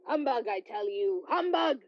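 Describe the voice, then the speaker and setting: A woman playing a grumpy, skeptical old male miser in a radio play, with a halting, curmudgeonly delivery. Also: on the high side, animated, lo-fi sound, background noise. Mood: skeptical, defiant. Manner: slow, halting, curmudgeonly, with hesitations.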